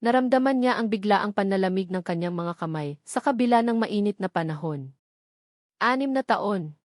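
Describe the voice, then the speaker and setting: A woman's voice: medium pitch, lively intonation, moderate pace, no room sound. Filipino woman storyteller, dry close sound. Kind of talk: scripted dramatic narration of a love story.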